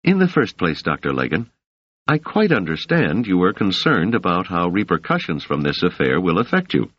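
An earnest, protective woman who blames herself and presses her point, as a male audiobook narrator puts her on. The voice is lifted and softened, swinging widely in pitch. The recording is dry and a little dull. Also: wildly swooping pitch, normal speed, husky, dry recording, full character voice. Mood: firm.

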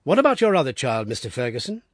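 A calm, keen-eyed detective, as a man voices him, measured and courteous, his voice slightly husky in a dry room. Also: medium pitch, dry recording. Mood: composed.

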